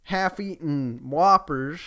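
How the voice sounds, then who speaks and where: A man's voice: a little high, slow pace, a bit bright, muffled recording. A man co-hosting a podcast, chatting casually with crude, joking remarks. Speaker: podcast co-host. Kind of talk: casual banter.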